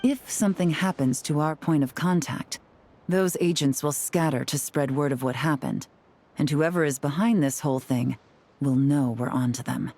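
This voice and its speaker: A woman's voice: low-pitched, normal speed, dark tone, slight background noise. A woman playing a cool, calculating strategist in a video game, composed and firm in her delivery.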